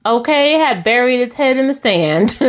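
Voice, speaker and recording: A woman's voice: a little high, lively intonation, moderate pace. Sassy chatty woman in her forties. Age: 40s. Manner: sassy, chatty, laughs often, addresses listeners as y'all. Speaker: recap host. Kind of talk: casual reality TV recap.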